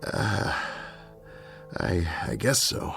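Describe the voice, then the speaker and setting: An actor playing a haunted American former Air Force captain in his forties, a guilt-ridden patient who speaks haltingly and repeats himself in a radio drama. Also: low-pitched, huge pitch swings, creaky, fairly bright tone, full character voice. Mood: haunted, confused. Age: 40s. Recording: studio radio drama with music underneath.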